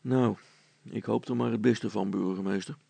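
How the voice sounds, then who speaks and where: Man playing a very creaky, wry, sceptical fellow. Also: fairly low pitch, steady tone, normal speed, dark tone, dry recording, exaggerated voice. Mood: dry teasing. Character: wry, sceptical working man, a father, deferential tease.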